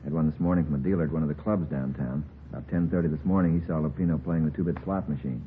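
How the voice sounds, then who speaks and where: A narrow, old-time radio sound with faint noise frames a police chief. His voice is deep and steady, with plain-spoken, businesslike authority. He comes across as a cautious commander in a 1950s drama. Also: racing. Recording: muffled tinny old radio recording, faint hiss.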